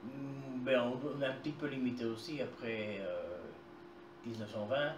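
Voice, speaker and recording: A man's voice: mid-range voice, normal speed, far from the mic. A mature man, giving plain, matter-of-fact answers as an interview guest. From Belgium, Tournai area. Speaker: interview guest, genealogist and author. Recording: echoey room, clear background noise.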